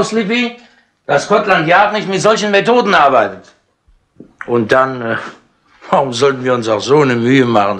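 An actor playing a wry, cajoling police inspector in a 1960s radio crime play, talking in a sardonic, persuasive way. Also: male voice, medium pitch, fairly bright tone, lightly acted.

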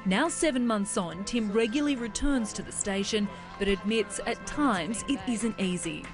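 A woman reporter reading a scripted news story in a dramatic, measured way. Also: medium pitch. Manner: dramatic, measured voice-over delivery. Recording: voice-over with music bed.

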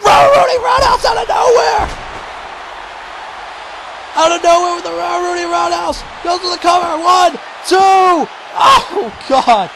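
A man calling a video game wrestling match play-by-play, shouting excitedly and going hoarse. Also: unhurried, a crowd in the background, noisy background. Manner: shouting, high-pitched and strained, losing his voice. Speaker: commentator.

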